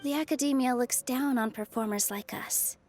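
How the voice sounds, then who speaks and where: A woman voicing a cheerful, earnest young female dancer in a video game, expressive and eager. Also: high voice, normal speed, faint music behind. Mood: earnest, concerned. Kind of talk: video game character acting.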